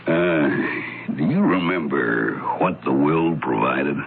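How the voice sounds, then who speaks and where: A man's voice: extremely low pitch, animated, takes it slowly, gravelly, heavily acted. An actor playing a polite, inquiring investigator's legman in a radio mystery drama. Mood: polite, probing. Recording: noisy, tinny old radio broadcast.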